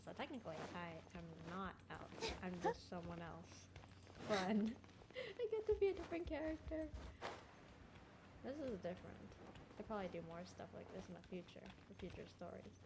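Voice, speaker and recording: A woman's voice: medium pitch, very animated, moderate pace. A young woman giving informal, slangy commentary while she plays a video game. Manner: informal, slangy, muttering reactions. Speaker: gamer narrating gameplay. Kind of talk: casual let's play commentary. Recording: home gaming recording with noise. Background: game audio and ambience.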